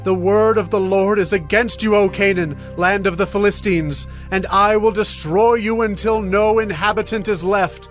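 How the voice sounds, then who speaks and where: Male actor as urgent doom prophet, declamatory scripture drama, raised pitch, stern, emphatic. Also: steady tone, natural voice. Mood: condemning. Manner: solemn, emphatic, deliberate oratory. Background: music.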